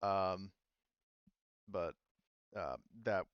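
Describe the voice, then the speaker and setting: A man's voice: deep voice, very slow, smooth, no echo, dull audio. A man running a tabletop game as game master, rambling and restarting, with lots of fillers.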